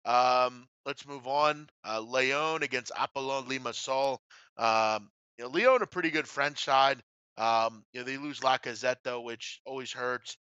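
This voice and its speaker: A man with a bright, forceful voice hosts casual sports betting talk. He is blunt and happy to swear, often breaking off into asides. The sound is very dry and slightly dull. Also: medium pitch, unhurried, clean tone, dry recording. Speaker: sports betting show host.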